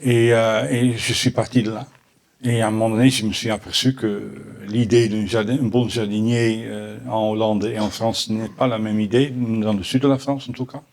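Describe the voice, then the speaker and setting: A middle-aged man from the Netherlands with a Dutch accent, answering audience questions at a conference in halting French full of restarts. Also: on the low side, little pitch movement, darkish tone. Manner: halting, many restarts and repeated words. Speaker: botanical garden owner answering questions. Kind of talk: Q&A after a conference talk.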